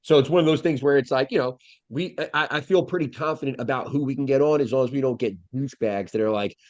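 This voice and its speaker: A man's voice: medium pitch, quick pace, no room sound. Congested man rambling on a dry call. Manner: rambling, swearing, lots of fillers and restarts. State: congested nose. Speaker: podcast co-host. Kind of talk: casual podcast chat. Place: home, recorded over video call. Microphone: video call.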